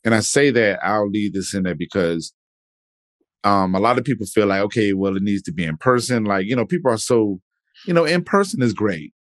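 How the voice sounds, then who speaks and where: Deep-voiced laid-back podcast host. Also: male voice, brisk, dry recording, studio quality. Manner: laid-back, peppers speech with "man" and "you know". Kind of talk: casual interview chat.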